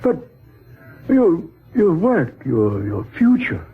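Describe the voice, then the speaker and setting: A man's voice: high-pitched, huge pitch swings, slow pace, breathy edge, soft background music, heavily acted. An actor playing a blustery, folksy, exasperated male boss in sputtering 1940s radio style. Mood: incredulous, exasperated. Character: blustery, incredulous male boss at a publishing business. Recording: muffled band-limited 1940s radio recording.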